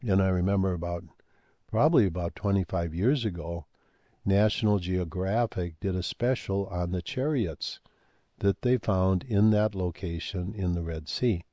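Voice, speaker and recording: An older man talking in a rambling monologue, halting with many fillers and restarts, and losing his voice. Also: deep voice, dark tone, no room sound, highs cut off. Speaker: speaker on ancient history and comets.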